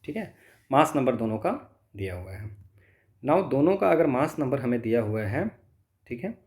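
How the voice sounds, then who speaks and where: Male tutor, Indian accent, mid-range pitch. Explains problems step by step, asking and answering his own questions. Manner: thinks aloud, poses questions and answers them himself. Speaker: physics tutor. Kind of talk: step-by-step exam problem lesson, mixing Hindi and English.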